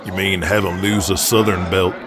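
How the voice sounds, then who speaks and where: A man voicing a stubborn, gruff wrestling promoter in an audio drama, terse, folksy and blunt. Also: low-pitched, flat delivery, normal speed, crowd noise, voice pushed down, lightly acted. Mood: calculating.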